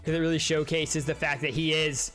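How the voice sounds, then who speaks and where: A young man gives casual, rambling reaction commentary as a content creator, thinking aloud and restarting sentences. His voice sits a little high, and game trailer music plays clearly beneath him. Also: flat delivery, brisk, a bit bright.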